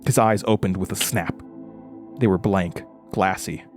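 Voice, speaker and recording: An actor playing a haunted, frightened man recounting a horror ordeal in a halting, intense way, as in an audio drama. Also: low voice, faint music behind. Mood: haunted, tense. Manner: halting, stammering over words, intense. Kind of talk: first-person horror audio drama narration.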